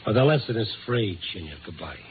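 An actor playing a tough, world-weary Chicago newspaper reporter in a 1950s radio noir drama, clipped and blunt. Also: male voice, fairly low pitch, very animated, brisk, slight background noise. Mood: weary, bitter. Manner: hard-boiled, clipped, blunt. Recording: tinny 1950s radio recording. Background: music.

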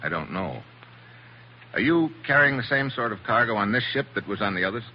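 An actor playing a tough, wry American special agent in a terse 1940s radio drama style. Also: male voice, fairly low pitch, very animated, brisk. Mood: probing. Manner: hard-boiled, terse, wry. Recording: muffled, hissy 1940s radio recording.

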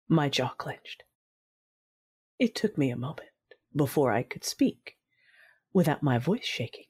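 A woman narrating a fan audio drama in the first person, her delivery soft and often hushed. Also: very deep voice, lively intonation, measured pace, slightly rough. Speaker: writer, director and narrator.

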